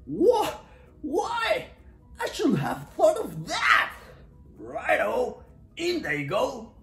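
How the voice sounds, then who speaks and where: A storyteller voicing a sly, excitable dingo from a children's storybook, exclaiming and singing theatrically. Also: medium pitch, very slow, vocal fry, reverberant, faint music behind, voice pushed up, heavily acted. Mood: excited. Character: sly, excitable male dingo cooking wombat stew.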